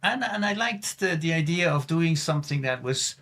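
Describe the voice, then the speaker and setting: A man's voice: fairly high pitch, animated, moderate pace, fairly bright tone. A middle-aged man with a Swiss accent, a guest in a casual interview, speaking thoughtfully with frequent restarts and repeated words. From Switzerland. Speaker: guest climate scientist.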